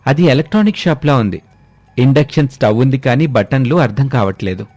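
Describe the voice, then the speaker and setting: A man's voice: mid-range voice, lively intonation, quick pace, darkish tone, dry recording, soft background music. A man narrating a scripted moral story as its storyteller.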